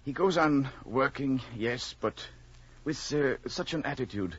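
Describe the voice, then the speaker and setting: An actor playing a concerned, courteous old professor in a radio drama, formal and hesitant with a husky voice. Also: male voice, medium pitch, animated, unhurried. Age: older. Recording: dull vintage radio recording.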